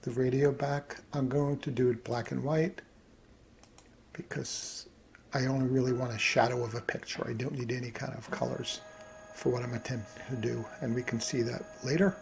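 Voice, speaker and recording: Man, gravelly voice, casual how-to narration, thinking aloud, faint background noise. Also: mid-range voice, moderate pace. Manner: thinks aloud while working at computer. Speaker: hobbyist presenter. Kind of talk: casual screen-recorded tutorial narration. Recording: steady background noise, keyboard clicks.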